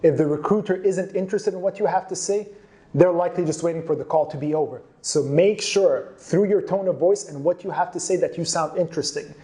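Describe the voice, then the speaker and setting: Mellow, higher-pitched man giving instructional tips. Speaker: career advice presenter. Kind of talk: presenting instructional tips to camera.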